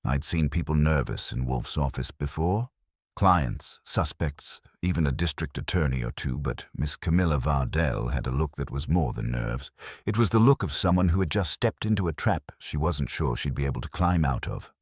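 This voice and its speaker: A man's voice: a bass voice, lively intonation, moderate pace, husky, no echo, studio quality. A man narrating a scripted first-person detective story in a wry, hardboiled manner.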